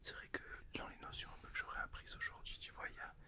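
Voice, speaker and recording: Young man whispering. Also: quick pace. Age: young adult. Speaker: video host, ASMR beginner. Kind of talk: whispered casual chat, ASMR. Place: small indoor studio with sofa. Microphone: shared desktop condenser mic, close.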